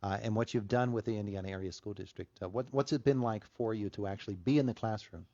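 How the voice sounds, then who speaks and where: A man's voice: fairly low pitch, fast, dark tone, no echo, dull audio. A man hosting a morning radio interview, jovial and chatty as he draws out his guests. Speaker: radio show host.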